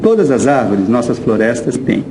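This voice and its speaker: A man's voice: mid-range voice, very animated, moderate pace, noisy background. A Brazilian man reciting poetry, with instrumental music behind him.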